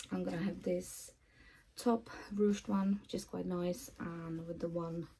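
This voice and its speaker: A woman's voice: lowish voice, vocal fry, dark tone, slight background noise, low-quality recording. A woman in her twenties chatting casually to her vlog audience, recorded on a phone in a small room at home. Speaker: vlogger.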